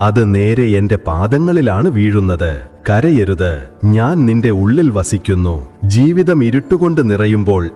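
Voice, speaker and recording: A man voicing a serene divine teacher who gently consoles a grieving devotee in a slow, soothing devotional monologue. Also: on the low side. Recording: long hall reverb with soft music.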